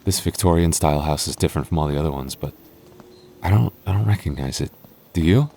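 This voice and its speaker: A man performs a young boyfriend in an intimate audio roleplay. His voice sits low and soft. He stammers and hesitates as he talks, nervous yet openly affectionate toward his partner. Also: fast, creaky, a little hiss or hum. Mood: teasing, wary.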